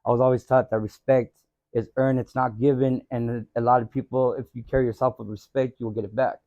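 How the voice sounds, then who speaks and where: A man speaks earnestly, often checking in with "you know what I mean". His voice is mellow and dark. The sound is dry and a little dull. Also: medium pitch, level tone, fairly quick, no echo. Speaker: podcast guest. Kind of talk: casual chat.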